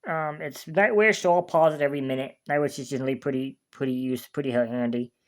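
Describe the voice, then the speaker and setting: A man's voice: medium pitch, fast. A man hosting a music reaction video, talking casually in a rambling way and often restarting his sentences. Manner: chatty, rambling, restarts sentences while searching for words.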